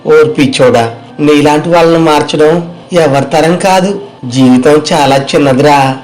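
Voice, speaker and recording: A man's voice: on the high side, a little slow, a little mellow, close to an everyday voice, rough recording. A man playing an earnest, boastful young villager in a moral story, with emphatic, familiar banter. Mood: dismissive, boastful. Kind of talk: dramatized moral story dialogue.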